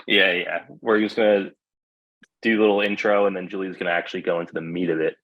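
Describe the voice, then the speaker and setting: Man, very low husky voice, laid-back webinar panelist. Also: fast, muffled recording. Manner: relaxed, casual American phrasing. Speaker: sales team lead, panelist. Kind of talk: online webinar intro. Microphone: video call.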